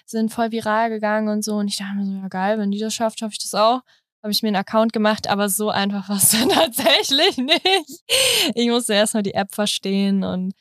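A woman's voice: medium pitch, fairly quick, no room sound. Young berlin woman w/ berlin accent, chatty, lots of ums and laughs, dry close mic. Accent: Berlin German. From Berlin, Germany. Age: 20s. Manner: chatty, many fillers and "halt", laughs often. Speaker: guest, influencer. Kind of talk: casual interview chat.

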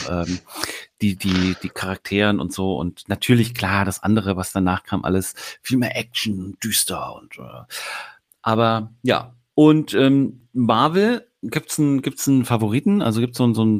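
A man's voice: fairly low pitch, no echo, studio quality. Chatty northern German man. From Hamburg. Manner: rambling, chatty, self-interrupting. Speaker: host. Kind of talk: casual get-to-know chat.

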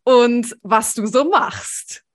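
A woman's voice: on the high side, extremely slow. A woman in her thirties hosting a conversational podcast interview in an informal, enthusiastic way. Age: 30s. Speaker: podcast host.

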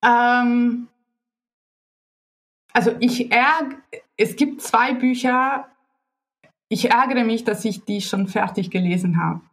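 Woman, talks slow, lots of umms, guest on a chat podcast. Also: fairly high pitch, a bit bright. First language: Italian. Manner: hesitant, searching for words with many fillers. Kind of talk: casual conversation.

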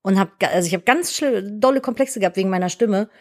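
Chatty woman, deep voice with a lisp. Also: fast talker, a little mellow. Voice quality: self-described deep voice with a lisp. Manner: chatty, candid, frequent fillers like "ne?". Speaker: podcast co-host. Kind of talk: casual podcast chat.

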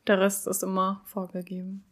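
A woman's voice: mid-range voice, monotone, normal speed. A twenty-two-year-old woman, a student teacher, telling her story in an open, easygoing way. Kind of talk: casual interview answers.

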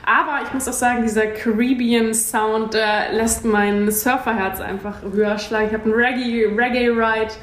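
A woman's voice: a little high, steady tone, normal speed, hardly any pauses. A woman from Kiel, a fitness instructor answering interview questions in a chatty way, often laughing and restarting. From Kiel, northern Germany. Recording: echoey room with faint noise.

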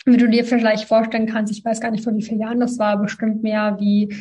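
Young woman guest from ukraine, higher voice, chatty w lots of ums and little laughs. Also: monotone, quick pace, mellow. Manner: chatty, many fillers, laughs between sentences. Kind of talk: casual interview talk.

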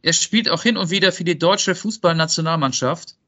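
A man hosts a football quiz in a casual podcast conversation. His voice sits a little high and has a sharp, bright edge. The sound is slightly muffled and lo-fi. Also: brisk. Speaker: podcast co-host and quizmaster.

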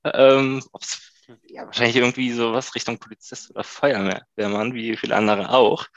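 A young man in his late twenties, from Berlin, talks through his past in an easy, halting way, with fillers and quick laughs in between. His voice sits at a middle pitch. The sound is dry and close, with no room around it. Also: dry recording, highs cut off. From Berlin, Germany. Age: 20s. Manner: relaxed, hesitant, with many fillers and short laughs. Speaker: guest. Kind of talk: casual interview chat.

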